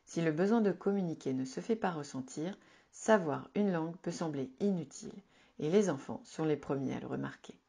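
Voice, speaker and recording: A woman narrating a written advice article in a calm, measured, instructive reading. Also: low voice, gravelly, breathy edge. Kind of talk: scripted informative narration.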